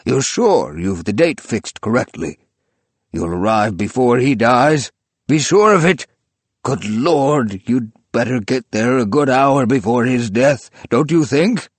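Feverish old tycoon, as a man puts him on, high strained voice, bossy and rapturous, dry close sound. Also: animated, measured pace, breathy edge, dry recording. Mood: urgent. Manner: fierce, rapturous and commanding. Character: feverish, imperious old male book collector.

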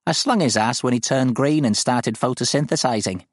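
A man's voice: medium pitch, normal speed, no echo, clean studio sound, pitched above the performer's own voice, close to an everyday voice. A man voicing a shrewd, cagey old woman farmer in her seventies with rustic, colloquial phrasing. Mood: scornful, reminiscing.

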